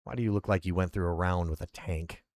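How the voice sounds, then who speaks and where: A man reads aloud as a big, hard-nosed detective grilling a suspect. The voice sits low and lands blunt, dry and close. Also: fairly even intonation, fast, a little mellow, no echo. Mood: demanding. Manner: blunt interrogating tone. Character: gruff, skeptical, burly male police detective.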